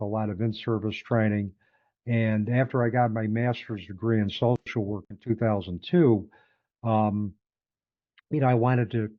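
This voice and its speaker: A man in his sixties with an upstate New York accent, a guest talking through his working life in a measured, thoughtful way. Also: lowish voice, no room sound. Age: 60s. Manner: measured, thoughtful, with small restarts. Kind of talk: reflective interview conversation. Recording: tinny phone-like line.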